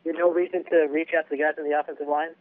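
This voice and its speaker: A man's voice: on the high side, level tone, rapid-fire, dry recording. A male sports reporter on a conference call, asking pointed, probing questions. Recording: dry tinny phone line.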